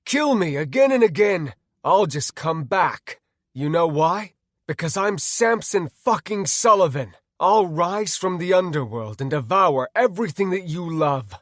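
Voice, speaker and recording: Casting: man acting anguished, defiant male gamer hero, foul-mouthed, vehement, very animated. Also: mid-range voice, moderate pace, hardly any pauses, no echo, clean studio sound, close to an everyday voice. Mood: screaming defiance. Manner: vehement, swearing.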